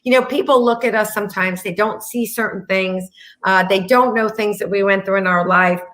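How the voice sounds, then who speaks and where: A woman's voice: medium pitch, fairly even intonation, moderate pace, a bit bright. A middle-aged woman hosting an interview in a conversational style. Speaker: interview host.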